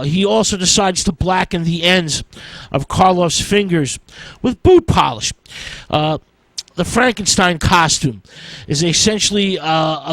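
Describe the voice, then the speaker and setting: A man with a somewhat high voice presents film history in an informal lecture style. He moves forward haltingly, putting an "uh" before most phrases. The sound is dry, with little room in it. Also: fairly bright tone, dry recording, low-quality recording. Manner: halting, hesitant delivery with an "uh" before nearly every phrase.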